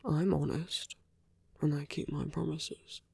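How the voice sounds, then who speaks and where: The silky villain of a romantic audio drama, acted by a man, murmuring taunts and flirtations right at a rival's ear, husky, soft and dry. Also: a little high, fairly even intonation, slow pace, no room sound, exaggerated voice. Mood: earnest, teasing. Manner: soft, breathy, often dropping to a whisper. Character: suave, teasing male villain, smitten with his heroic enemy. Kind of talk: ASMR roleplay acting.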